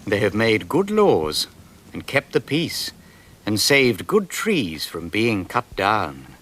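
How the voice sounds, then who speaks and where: An actor playing a fond storyteller in a radio drama, narrating in a stately, expressive way. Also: male voice, on the low side, slow, full character voice.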